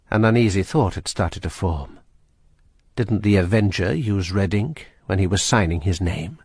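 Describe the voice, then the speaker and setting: A man narrating a radio drama in a measured, suspenseful way. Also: on the low side, husky, dry recording, clean studio sound.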